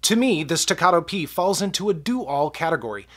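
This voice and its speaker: A man's voice: fairly high pitch, animated, a little fast. Stuffed-up man, friendly gun reviewer. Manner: friendly, chatty, addresses viewers as guys. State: stuffed up from a cold. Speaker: gun review channel host. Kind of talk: casual product review talk to camera.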